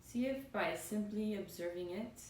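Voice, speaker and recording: Woman meditation guide, soothing and spacious phrasing; roomy, echoing recording with faint background rumble. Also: a little mellow. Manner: soothing, unhurried phrases with pauses. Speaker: yoga and meditation teacher. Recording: roomy, distant mic, faint noisy rumble.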